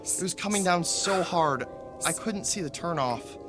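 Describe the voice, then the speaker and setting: A man's voice: high voice, lively intonation, normal speed, music in the background. Anxious, pleading young man. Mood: nervous. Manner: stammering, urgent. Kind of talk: radio drama acting.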